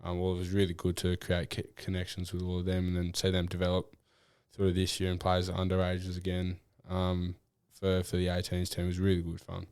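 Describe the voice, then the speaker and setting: Deep, flat-voiced young Australian man. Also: fairly quick, hardly any pauses, smooth, no room sound, studio quality. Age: young adult. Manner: relaxed, rambling with fillers and restarts. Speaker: draft prospect guest. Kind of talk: casual interview.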